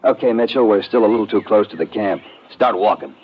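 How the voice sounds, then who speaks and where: An actor playing a crafty, double-crossing villain in a 1950s radio drama, with clipped, sardonic tough talk. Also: male voice, lowish voice, a little fast, natural voice. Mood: menacing, smug. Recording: muffled old radio recording, noisy.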